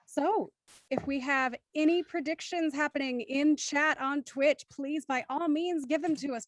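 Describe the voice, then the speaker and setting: A woman hosting and moderating a relaxed discussion with a cast of actors. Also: high voice, dry recording. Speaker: host.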